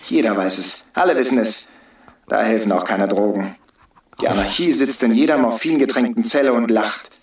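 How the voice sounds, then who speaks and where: An actor playing a bitter, taunting rebel hostage-taker who preaches and needles in a radio drama. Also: male voice, lowish voice, normal speed, lightly acted. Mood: taunting, commanding. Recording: roomy, distant from the mic.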